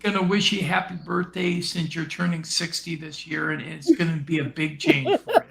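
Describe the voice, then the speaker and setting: A man in his sixties jokes with his fellow panelists. His voice sits high for his age and has a gravelly edge. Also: lively intonation, moderate pace, fairly bright tone. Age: 60s. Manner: teasing. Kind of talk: casual chat.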